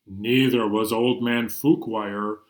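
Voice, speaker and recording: A man's voice: on the low side, fairly even intonation, slow. A man hosting a children's storytime, reading and singing playfully and expressively with sudden emphasis. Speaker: children's storytime host, librarian reader. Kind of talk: animated storytelling and songs for young children.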